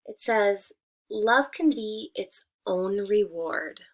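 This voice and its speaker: Woman teacher with a creaky voice, reading aloud. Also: mid-range voice. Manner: deliberate, addressing young children. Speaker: elementary school teacher. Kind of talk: read-aloud lesson for children.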